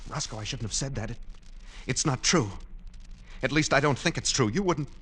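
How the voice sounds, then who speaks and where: A man's voice: medium pitch, lively intonation, moderate pace, a bit bright, husky. An actor playing an anxious, lovesick, pleading man in a vintage radio drama. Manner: earnest, pleading, theatrical. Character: lovesick, anxious man living secretly in a department store. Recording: old radio recording with music behind.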